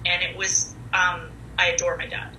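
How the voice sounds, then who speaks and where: A woman in her forties, a podcast guest with a loud, comfortable voice, telling her story in a chatty, candid way full of fillers. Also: on the low side, little pitch movement, normal speed. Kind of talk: casual conversational storytelling. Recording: echoey room with faint noise.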